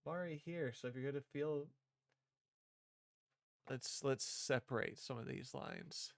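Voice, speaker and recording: A man's voice: mid-range voice, a little slow, creaky, no room sound, studio quality. A man reading visual novel dialogue aloud and performing the parts, with casual asides as a streamer.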